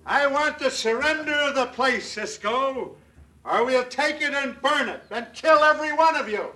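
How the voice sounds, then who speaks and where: An actor playing a ruthless outlaw gang boss with an American accent, barking threats and orders in an old radio western. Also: male voice, very high voice, normal speed, pushed and bright, poor audio. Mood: menacing.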